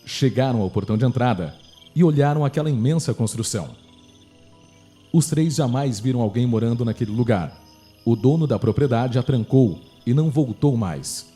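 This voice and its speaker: A man's voice: medium pitch, animated, moderate pace, mellow. A man with a Brazilian accent narrating a scripted horror story for radio drama. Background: faint music.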